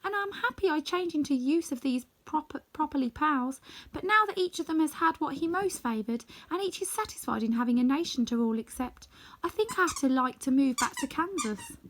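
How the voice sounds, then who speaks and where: Woman playing a high, sweet, eager little girl. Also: lively intonation, brisk. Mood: earnest. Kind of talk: children's storybook read-aloud.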